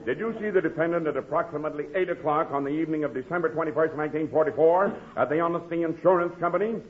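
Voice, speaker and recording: An actor playing a stern, formal courtroom prosecutor with impatient, declamatory delivery in 1940s radio comedy style. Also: male voice, a little high, very fast, natural voice. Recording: muffled, noisy old radio recording.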